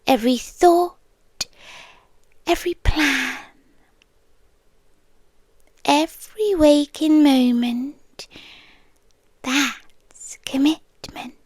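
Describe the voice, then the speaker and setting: British woman acting as ancient inhuman girlfriend, possessive, sweetly menacing, high-pitched, breathy whispers, ASMR roleplay, very close dry sound. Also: dry recording, exaggerated voice. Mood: cool devotion. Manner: slow, deliberate, pausing between short phrases.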